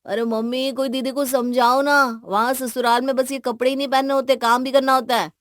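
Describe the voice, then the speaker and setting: Woman as bride's little brother, fairly high voice, cheeky, clingy, whiny, audio drama. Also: level tone, a little fast, a bit bright, dry recording, studio quality. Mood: teasing.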